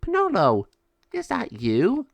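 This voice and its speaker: A man's voice: fairly high pitch, expressive, moderate pace, no room sound, lo-fi sound, pitched above the performer's own voice, close to an everyday voice. A man voicing an earnest, wise sorceress, a big sister and heroine in a storybook, speaking emphatically. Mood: surprised.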